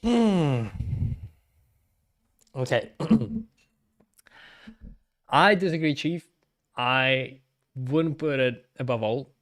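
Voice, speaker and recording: A man's voice: mid-range voice, lively intonation, drawn-out words, long pauses, a bit bright. A man giving casual livestream commentary in a hesitant way, often repeating himself. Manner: hesitant, repeats himself, frequent throat clearing.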